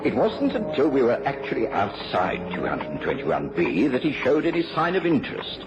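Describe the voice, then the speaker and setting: Casting: courteous British doctor narrating detective tales, hugely varied intonation, dull old radio sound. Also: male voice, mid-range voice, huge pitch swings, moderate pace. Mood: reflective, narrating. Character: Doctor Watson, courteous gentleman doctor recounting his detective friend's cases. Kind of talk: radio drama narration and dialogue. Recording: dull radio recording with music behind.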